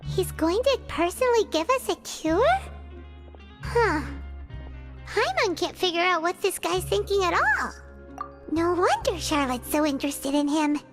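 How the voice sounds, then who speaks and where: A woman voicing a tiny, excitable floating companion girl who talks about herself in the third person, in video game dialogue. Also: very high voice, unhurried, faint music behind, heavily acted. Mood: curious.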